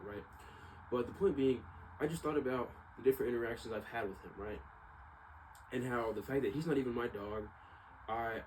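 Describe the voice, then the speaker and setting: A man's voice: mid-range voice, darkish tone, rough recording. A man talking casually to viewers at home in a rambling, slangy, hesitant and reflective personal monologue. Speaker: vlogger. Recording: clearly audible background noise.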